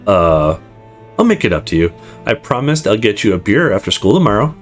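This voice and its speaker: A man's voice: on the low side, very animated, a little fast, soft background music. A man voicing a sensible, sheepish young man in a visual novel, hesitant and reassuring.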